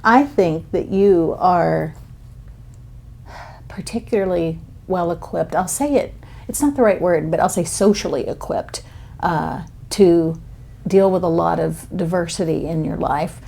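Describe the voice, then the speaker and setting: Low, gravelly-voiced woman host. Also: measured pace. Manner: effusive, warm praise, frequent affirmations. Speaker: podcast host. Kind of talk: casual interview chat.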